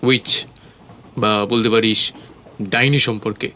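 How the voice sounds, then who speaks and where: A man's voice: fairly low pitch, fairly even intonation, takes it slowly, pushed and bright. A man playing an earnest young writer, explaining himself in audio story dialogue. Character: earnest young male writer researching his novel.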